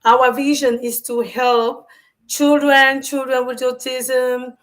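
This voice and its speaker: A woman from Cameroon, a guest on a video call, talking about her work in an earnest, warm way with some hesitant fillers. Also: high voice, little pitch movement, slow. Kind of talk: interview answers.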